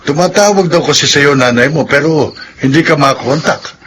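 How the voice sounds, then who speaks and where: A man's voice: on the high side, a little fast, a bit bright, slight background noise, close to an everyday voice. A man playing a concerned older father in a Filipino radio drama.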